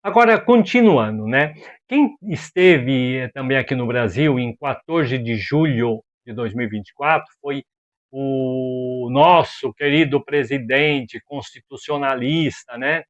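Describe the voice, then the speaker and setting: A man from Brazil giving casual opinion commentary as a video host, animated and exasperated, full of rhetorical questions and slang. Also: medium pitch, measured pace, highs cut off.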